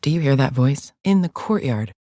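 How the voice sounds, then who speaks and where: A woman's voice: a bass voice, lively intonation, normal speed, warm tone, no room sound, clean studio sound. An actress playing a haughty alien gladiator in a video game, formal, clipped and menacing as she guides the player with open contempt. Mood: contemptuous. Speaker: mission guide character.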